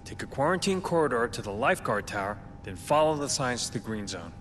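An actor playing a weary, cautious survivor, speaking in a subdued, deadpan way. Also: male voice, medium pitch, fairly quick, slight background noise. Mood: matter-of-fact. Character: weary, cautious male survivor holed up with others. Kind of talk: video game cutscene acting.

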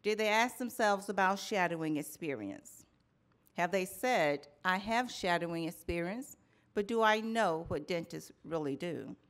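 Middle-aged woman, keynote speaker, lowish voice, deliberate storytelling at a lectern mic. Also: animated. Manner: slow, deliberate delivery with rhetorical questions and lists. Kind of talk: measured storytelling keynote speech. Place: conference hall in front of a banner backdrop. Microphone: lectern microphone, medium framing.

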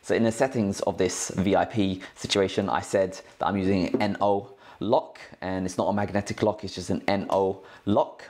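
A man's voice: low-pitched, steady tone, normal speed, few pauses, a little mellow. A man hosting a tech tutorial in a casual, informal, slangy way, explaining things step by step. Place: indoors at a cluttered work desk.

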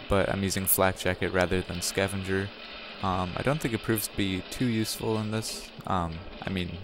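A young man with a slightly raspy voice, giving casual commentary over his own gameplay footage and often correcting himself as he talks. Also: sits low, noisy background. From Wisconsin, USA. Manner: rambling, self-correcting, chatty. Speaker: gaming channel host. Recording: music playing behind the voice.

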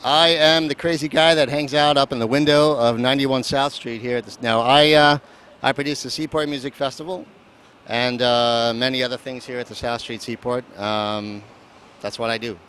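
A man's voice: medium pitch, fairly even intonation, moderate pace, a bit bright, clear, resonant tone. A man, a festival producer, rambling and joking through a casual street interview outdoors. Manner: rambling, joking, lots of fillers. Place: outdoors at a seaport. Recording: faint street noise and traffic.

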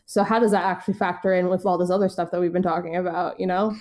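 American woman, podcast co-host, mellow tone, informal conversational delivery. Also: fairly low pitch, flat delivery, fast talker. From United States. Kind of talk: casual podcast chat.

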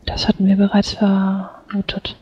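A woman playing a composed, dutiful mage in a tabletop game, speaking formally and courteously with measured phrasing. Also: mid-range voice, monotone, breathy edge, no room sound, lo-fi sound. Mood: concerned, formal. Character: composed, dutiful female mage of a fantasy order. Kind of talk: tabletop roleplay in character.

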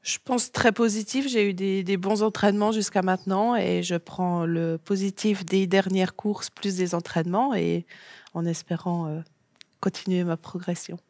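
Une jeune femme invitée sur un plateau de télévision, qui répond simplement et de façon détendue aux questions, avec quelques hésitations. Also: female voice, medium pitch, normal speed, no echo. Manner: relaxed, short plain answers with fillers. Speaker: guest athlete. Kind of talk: casual TV interview answers. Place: TV studio set.

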